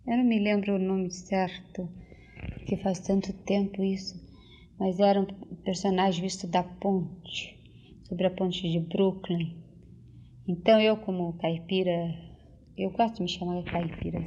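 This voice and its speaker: An older woman, recalling things as an interviewee and often pausing to search for words and restart. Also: medium pitch, fairly even intonation, mellow, slight background noise. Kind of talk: casual spoken reminiscence. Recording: dull lo-fi tape recording.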